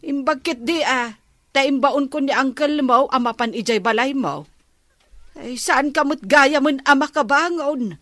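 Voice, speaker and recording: An actress playing an earnest, advising village woman in a Filipino radio comedy drama, measured, with an Ilocano accent. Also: high voice, a bit bright.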